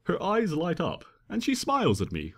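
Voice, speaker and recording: A man reading fan fiction as an expressive, theatrical first-person narration. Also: sits high, normal speed, breathy edge. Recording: long hall reverb.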